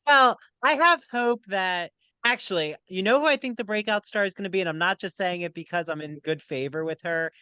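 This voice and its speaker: A man's voice: high-pitched, a little fast, clean tone, dry recording. A man hosting a podcast, chatting casually and asking his guest playful questions. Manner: playful, animated interviewer. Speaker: podcast host. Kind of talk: casual chat.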